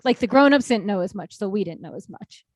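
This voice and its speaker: A woman in her thirties co-hosts a casual podcast, talking in an animated way and laughing often. Her voice sits at a middle pitch and is recorded close and dry, with no room sound. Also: fast, dry recording. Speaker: podcast co-host. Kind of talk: casual chat.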